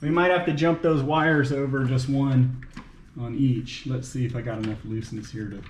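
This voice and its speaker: A man's voice: mid-range voice, fairly even intonation, normal speed. A man giving casual, folksy running commentary to himself as he works on a car in a garage. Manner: talks to himself, folksy and playful. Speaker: vlogger working on his car. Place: home garage. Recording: roomy garage echo, clear background noise.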